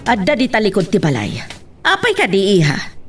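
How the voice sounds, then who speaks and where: A woman's voice: mid-range voice, wildly swooping pitch, fairly quick, far from the mic, loud music behind the voice. A woman acting a suspicious, questioning mother and wife in an Ilocano radio drama, with a Filipino accent. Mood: questioning, concerned.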